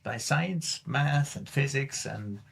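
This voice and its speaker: A man's voice: mid-range voice, slow pace, vocal fry, husky. A middle-aged man with a Swiss accent, a guest in a casual interview, speaking thoughtfully with frequent restarts and repeated words. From Switzerland. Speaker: guest climate scientist.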